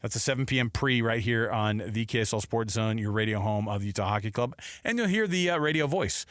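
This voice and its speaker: A man's voice: mid-range voice, animated, brisk, no room sound, studio quality. A man hosting casual sports talk radio, chatty and repeating himself for emphasis.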